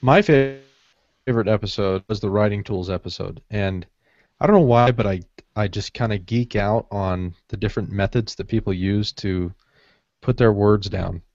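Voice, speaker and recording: A man with a fairly low voice chats casually as a podcast co-host. The recording is very dry and somewhat muffled. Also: normal speed, a little mellow, dry recording.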